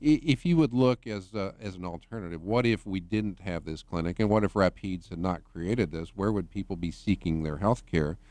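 Deep-voiced man, measured and halting. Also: darkish tone, no echo. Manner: measured, hesitant with many restarts. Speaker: healthcare administrator guest. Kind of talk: radio interview.

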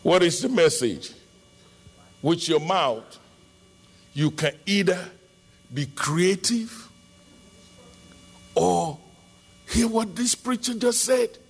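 A middle-aged Nigerian man, Yoruba his first language, preaching a sermon as a pastor with emphatic bursts into shouting. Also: high-pitched, lively intonation, slow, pauses often, breathy edge.

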